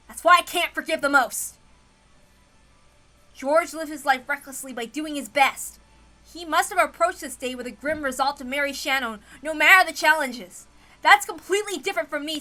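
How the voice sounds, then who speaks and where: A woman's voice: sits high, pushed and bright. A woman playing an indignant, stubborn young man who argues righteously in a visual novel read-aloud. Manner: emphatic, righteous, argumentative.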